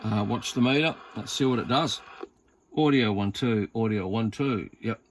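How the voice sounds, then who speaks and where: A man's voice: on the low side, moderate pace, a little hiss or hum, low-quality recording. A man with an Australian accent chatting casually and hesitantly as he presents a hobby video, rambling in a laid-back way. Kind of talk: casual chat while demonstrating equipment.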